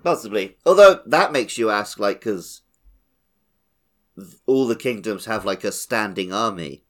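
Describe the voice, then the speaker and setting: A man's voice: lowish voice, animated, measured pace, fairly bright tone. A man hosting a podcast, chatting casually about a video game. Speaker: podcast host.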